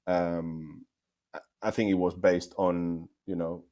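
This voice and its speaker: A man's voice: low voice, flat delivery, takes it slowly, mellow. A man from Spain with a Spanish accent, answering interview questions conversationally as a game developer, thinking aloud with frequent repeats. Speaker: game developer, guest speaker.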